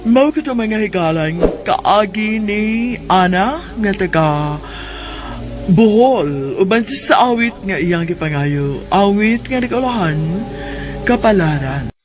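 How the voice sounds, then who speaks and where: A man's voice: sits high, animated, slow, music in the background. A man with a Bisaya accent reading scripted closing narration as a radio drama announcer. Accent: Filipino Bisaya.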